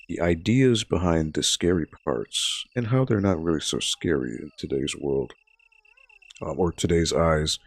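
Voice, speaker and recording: A man in his thirties hosting a library livestream, hoarse and raspy from bronchitis, chatting casually with viewers and explaining each step as he plays. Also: low-pitched, dry recording. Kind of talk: casual gameplay commentary to a live chat.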